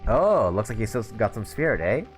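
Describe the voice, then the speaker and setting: A man's voice: on the low side, very animated, a little fast, soft background music. Man voicing an upbeat, free-spirited desert girl. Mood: teasing. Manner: teasing, encouraging, easygoing.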